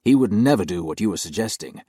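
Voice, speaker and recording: A man doing a harsh stern gentleman. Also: on the low side, lively intonation, fast talker, husky, no room sound, clean studio sound. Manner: harsh, curt, adamant.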